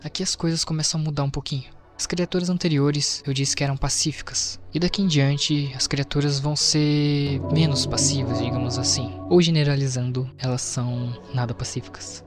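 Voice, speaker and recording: A man's voice: mid-range voice, steady tone, normal speed, few pauses. Brazilian man narrating over faint music. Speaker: video narrator. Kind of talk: scripted explainer narration with casual asides. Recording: music behind the voice. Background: background music.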